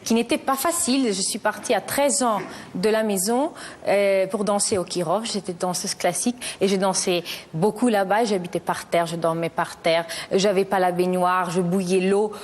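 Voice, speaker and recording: A woman's voice: mid-range voice, moderate pace, a little hiss or hum. Hesitant woman in her thirties from Italy. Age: 30s. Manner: hesitant, restarts often, earnest. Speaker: guest. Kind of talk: talk show interview.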